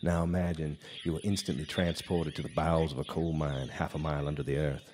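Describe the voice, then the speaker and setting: Haunted hard-drinking male narrator played by an actor, deep, brooding, theatrical; live radio drama with noisy background. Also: fairly even intonation, brisk, a little mellow. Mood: grim, haunted. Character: haunted, bitter, hard-drinking male guitarist telling his tale. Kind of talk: noir radio drama monologue. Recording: live stage recording with background noise.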